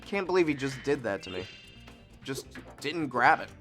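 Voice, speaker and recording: A man talks through a video game session in a bright, forceful voice, with faint game music underneath. He sounds a little sniffly and chats loosely, now and then bursting into excited shouts before dropping back into low asides. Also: normal speed. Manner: chatty and self-deprecating, jumps between excited shouts and mumbles. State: sniffly nose. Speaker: let's play host. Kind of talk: casual gameplay commentary.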